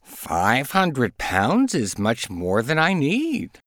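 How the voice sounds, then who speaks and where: A man voicing a greedy, boastful young male duck in a storybook reading, bragging and shrieking with excitement. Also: medium pitch, very slow, no room sound, clean studio sound, voice pushed up, exaggerated voice. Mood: gleeful.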